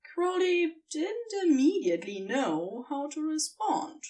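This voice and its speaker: Female narrator for fan fiction. Lively intonation, unhurried pace, expressive storytelling, a little off-mic. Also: high voice, recorded from a distance.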